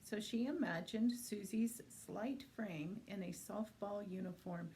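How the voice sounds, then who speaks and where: Female reader for thriller fiction, soft-edged mellow tone, mid pitch, some background noise. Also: unhurried. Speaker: library reader introducing and reading a book aloud. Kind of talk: audiobook-style reading of a thriller novel.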